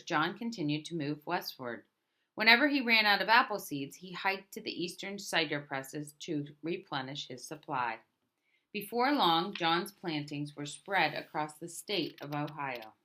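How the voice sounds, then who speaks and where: A woman reading a picture book aloud as a storyteller, in a deliberate manner with emphatic openings to her phrases. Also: deep voice, sharp tone. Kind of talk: scripted picture book narration.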